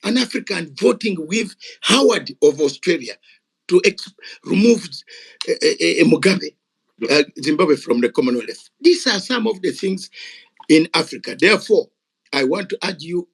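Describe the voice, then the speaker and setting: A man's voice: on the high side, animated, normal speed, gravelly, breathy edge. Fiery older man with Ugandan English. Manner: fiery, emphatic, repeats words for force. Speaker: guest speaker at a gathering. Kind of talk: impassioned unscripted speech. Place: gathering of activists.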